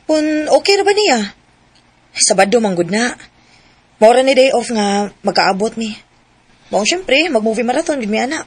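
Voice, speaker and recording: A woman's voice: on the high side, big pitch swings, moderate pace, pauses often, slightly rough, dry recording. An actress playing a guarded, plain-spoken young tomboy woman in a radio drama, with a casual, unaffected manner.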